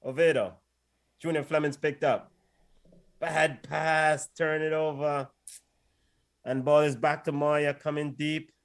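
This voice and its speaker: A man's voice: fairly high pitch, fairly even intonation, slow, long pauses. A man doing casual play-by-play on a watch-along stream, and often pleading with the players and the referee. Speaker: co-host and match commentator.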